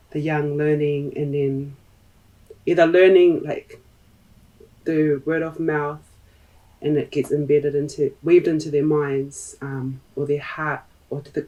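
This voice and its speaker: Slow, thoughtful New woman. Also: low-pitched, level tone, warm tone, far from the mic, low-quality recording. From New Zealand. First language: Tongan. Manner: thoughtful, pausing often with fillers. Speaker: podcast guest. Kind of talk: reflective interview talk.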